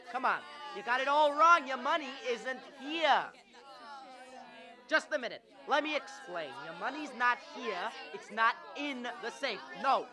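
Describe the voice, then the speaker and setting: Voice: medium pitch, lightly acted. A teenage performer playing an earnest, defiant young man in an amateur radio drama, urgent and stammering under pressure. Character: earnest, defiant young man running a small loan firm.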